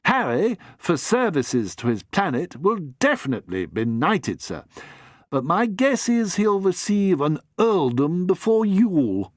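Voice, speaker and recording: A man's voice: high voice, big pitch swings, a little slow, dry recording, studio quality, voice pushed up. A man doing a chirpy posh young gent. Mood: breezy. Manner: breezy, gushing, stammers when caught out. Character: jolly young upper-class man, eager and flustered.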